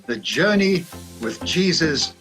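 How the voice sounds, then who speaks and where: A man's voice: on the high side, big pitch swings, takes it slowly. A man in his seventies giving a grateful church testimony in an earnest, reflective way. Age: 70s. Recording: soft music behind.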